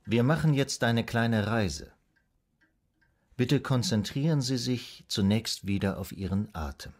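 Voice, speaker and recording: An actor playing a calm, soothing psychoanalyst in a radio drama, guiding a patient in a measured, hypnotic, gently instructing way. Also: male voice, mid-range voice, natural voice. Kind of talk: German radio drama acting.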